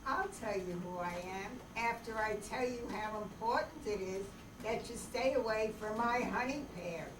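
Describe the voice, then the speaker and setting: A woman's voice: fairly high pitch, normal speed, lightly acted. A woman playing a polite, lovesick gunman with folksy phrasing in an old-time radio drama. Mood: polite menace. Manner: drawling folksy grammar, courteous while threatening. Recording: roomy, noisy, distant microphone stage recording.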